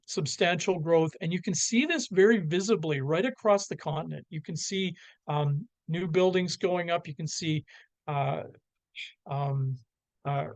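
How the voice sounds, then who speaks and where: A man with a Canadian accent giving a slide presentation, halting with many fillers and restarts. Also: a little high, dry recording.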